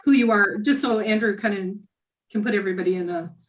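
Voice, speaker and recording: A woman chairing a committee meeting over a video call, friendly and halting, with many fillers and restarts. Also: mid-range voice, a little hiss or hum. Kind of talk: casual meeting chat.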